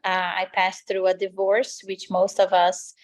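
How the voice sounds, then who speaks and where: A woman's voice: medium pitch, completely flat delivery, a little slow. A woman from Brazil with a Brazilian accent, a native Portuguese speaker, answering interview questions as a lifestyle coach in an earnest, motivational way. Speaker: lifestyle coach, podcast guest.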